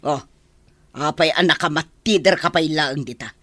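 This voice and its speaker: A senior maid in a radio drama, with a rough, husky voice that sits lowish for a woman. She is bossy and grumbling, impatient and sharp with her tongue. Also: normal speed, dry recording, clean studio sound. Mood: irritated, bossy.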